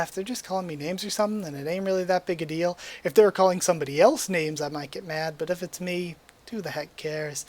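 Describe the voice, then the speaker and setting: Young male vlogger, somewhat high voice, hoarse, easygoing rambling webcam chat. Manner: relaxed, rambling, with frequent ums and likes. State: losing his voice. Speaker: YouTuber. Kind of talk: casual quiz commentary to webcam. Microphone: desk mic at a webcam setup.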